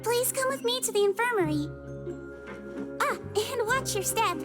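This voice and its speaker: A sweet, fussy little head nurse in a video game. Her voice is extremely high, polite and solicitous, with music behind it. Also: lively intonation, moderate pace. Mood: caring, gently fussy. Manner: polite, solicitous, gently instructive. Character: small sweet caring female head nurse, gently fussy. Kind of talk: video game character voice acting.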